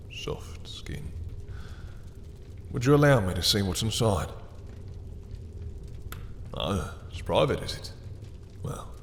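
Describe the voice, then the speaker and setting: A man's voice: deep voice, long reverb tail, a little hiss or hum, heavily acted. A man playing a dominant, obsessive vampire in an intimate roleplay audio drama, silky and mocking, with theatrical courtesy as he lingers over words. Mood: silky, covetous. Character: dominant, obsessive male vampire taunting a captured hunter.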